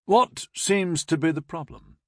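A man's voice: fairly high pitch, measured pace, fairly bright tone, no room sound, clean studio sound, pitched above the performer's own voice. A man voicing an authoritative special forces major in an audiobook, polite but firm and commanding. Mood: polite authority. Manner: commanding, polite, curt when crossed.

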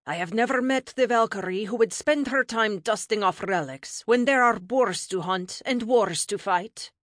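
A woman's voice: medium pitch, moderate pace, a bit bright, no room sound, clean studio sound, pitched above the performer's own voice, natural voice. A woman voicing a fierce, proud and impatient ancient goddess in a fantasy audiobook, with a formal, commanding manner. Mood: disdainful.

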